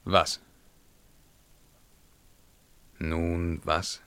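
An actor playing a tormented young emperor in a 1950s German radio verse drama, declamatory, world-weary and commanding, swinging from hushed to feverish. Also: male voice, low-pitched, little pitch movement, drawn-out words, clear, resonant tone, dry recording, full character voice. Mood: brooding, wary. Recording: 1950s studio radio recording, dry.

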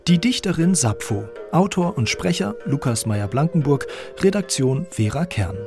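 A man's voice: fairly high pitch, big pitch swings, normal speed, music in the background. A man with a standard German accent reading scripted narration as the narrator of a radio feature.

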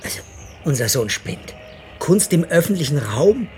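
An actor playing a troubled, sceptical husband and father in an intimate radio drama, with a husky voice. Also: fairly high pitch, big pitch swings, measured pace, faint music behind.

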